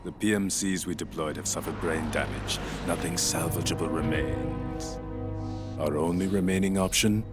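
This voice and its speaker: Guy doing a super deep menacing game henchman, measured and cold, loud music behind him. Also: steady tone, lightly acted. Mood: cold, grave. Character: cold, menacing male henchman reporting to his boss. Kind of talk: English-language video game cutscene acting.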